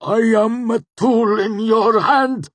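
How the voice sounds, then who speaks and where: A man's voice: on the low side, animated, slow, husky, no room sound, voice pushed up, heavily acted. A man voicing a cruel but servile henchman in a fantasy story, with a strained, gasping voice. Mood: pained, desperate. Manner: choked, pleading, submissive.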